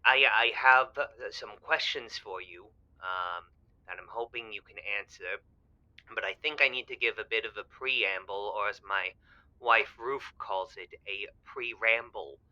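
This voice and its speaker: Man acting fumbling, eager old man, bright-voiced. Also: lowish voice, measured pace, lo-fi sound. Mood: nervous, earnest. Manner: hesitant, stammering, earnest. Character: fumbling, naive, eager married man in his eighties. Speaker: caller to a radio advice show. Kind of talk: improvised-sounding comic audio drama.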